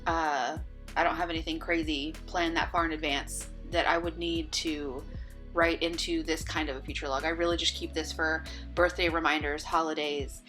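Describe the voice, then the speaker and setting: A woman with a fairly low voice talks loosely through her planner pages, filling pauses with ums and uhs. Her voice is a little worn, as if she is losing it. Faint music plays underneath the voice-over. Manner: relaxed, chatty, with many ums and uhs. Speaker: bullet journal vlogger. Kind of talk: casual voice-over walkthrough.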